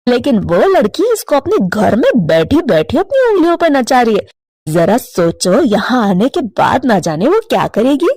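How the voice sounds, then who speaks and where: Woman as a fretful mother crow, lower-voiced. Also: darkish tone, no room sound, clean studio sound, heavily acted. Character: worried, long-suffering middle-aged mother crow, female. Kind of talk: animated cartoon moral story.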